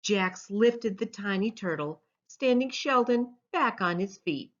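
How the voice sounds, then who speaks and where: A woman reading a children's storybook aloud as its author, in an expressive storytelling style. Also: mid-range voice, measured pace. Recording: dull lo-fi sound.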